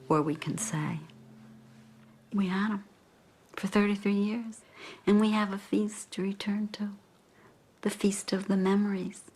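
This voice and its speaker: Older woman, very low and unhurried, reflective interview testimony, faint music underneath. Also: darkish tone. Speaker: bereaved mother giving testimony.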